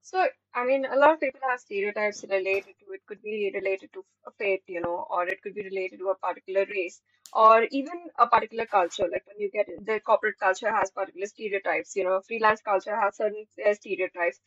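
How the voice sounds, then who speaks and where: A woman's voice: mid-range voice, fast talker, a bit bright, no room sound. A woman with an Indian accent hosting a conversational interview as a podcaster. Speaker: podcast host. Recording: dull, lo-fi close dry audio.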